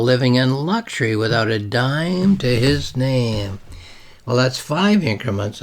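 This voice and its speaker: Man giving a measured, dramatic literary reading. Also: mid-range voice. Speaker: novelist reading from and explaining own novel. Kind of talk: literary reading with informal explanation.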